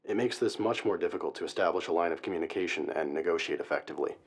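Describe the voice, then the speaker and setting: Hostage negotiator, very low voice, brisk pace, formal, serious, radio drama interview. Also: male voice. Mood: measured, concerned. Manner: formal, analytical. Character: measured male crisis negotiator, guarded and serious. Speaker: guest expert, hostage negotiator.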